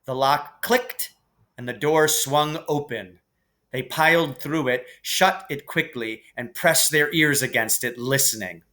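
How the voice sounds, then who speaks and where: A man reading a children's story aloud as dramatic, deliberate, suspenseful narration. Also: medium pitch, sharp tone. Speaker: reader.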